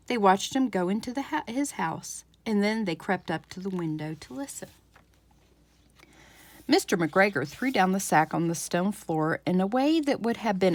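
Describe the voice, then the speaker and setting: Animated woman reading a children's storybook. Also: fairly low pitch, no echo. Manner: animated, dramatic emphasis. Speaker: library storyteller.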